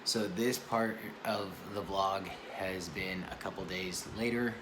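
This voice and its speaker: Male car vlogger, hoarse and sore-throated, low-pitched, laid-back rambling delivery, noisy background. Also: steady tone, takes it slowly. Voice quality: raspy. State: sore, hurting voice. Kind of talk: casual vlog to camera. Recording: clear background noise.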